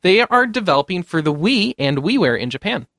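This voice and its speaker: A man with a fairly high voice hosts casual gaming news in a chatty, informal way. His delivery is jokey, and the sound is close and dry with no room around it. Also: sing-song, brisk, a bit bright, no echo. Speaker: podcast host.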